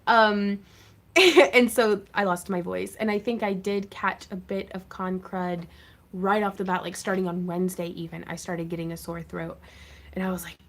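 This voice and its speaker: A woman's voice: mid-range voice, fairly even intonation, moderate pace. A woman hosting a craft livestream, chatting casually and laughing often as she greets viewers. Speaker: craft channel host.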